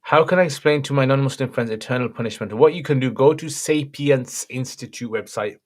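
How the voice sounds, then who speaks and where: A man with a slightly croaky voice, an Islamic scholar reading out and answering viewer questions on a livestream in brief, matter-of-fact replies. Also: medium pitch, fairly quick.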